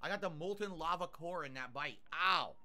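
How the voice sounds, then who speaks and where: A man's voice: on the high side, animated, normal speed, pushed and bright. A man streaming live, chatting casually as he eats and reads out viewer tips and thanks. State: mouth burned, nasal drip. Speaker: livestreamer.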